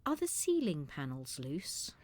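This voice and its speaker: A woman's voice: sits low, huge pitch swings, unhurried, a little mellow, exaggerated voice. A woman playing a feisty, wry old woman with a rebellious streak in an audio drama. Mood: dry, wry. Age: older.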